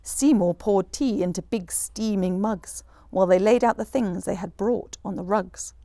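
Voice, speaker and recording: A woman reading a rhyming children's picture book aloud in an expressive, rhythmic storyteller's manner. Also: mid-range voice, moderate pace, no echo. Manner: animated, rhythmic rhyming delivery.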